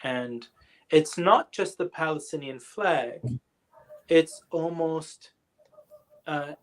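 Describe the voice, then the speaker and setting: A man with a fairly high voice takes audience questions after a lecture. He talks slowly and with care, stopping often on fillers and starting his sentences over. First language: English. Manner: thoughtful, hesitant, many fillers and restarts. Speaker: guest lecturer. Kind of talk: online lecture Q&A answer.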